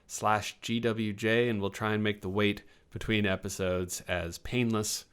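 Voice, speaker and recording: A man talking casually and informally as host and player on a tabletop game show, with halting phrasing. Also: on the low side, level tone, fairly bright tone, clear, resonant tone. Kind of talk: casual chat.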